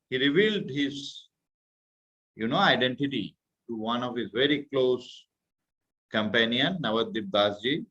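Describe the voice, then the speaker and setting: Indian man, middle-aged, halting and earnest speaker, mid-pitched voice, somewhat dull lo-fi sound. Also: a bit bright. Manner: earnest, halting, searching for words. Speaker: guest speaker. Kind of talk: religious talk to youth class. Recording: muffled, slightly lo-fi audio.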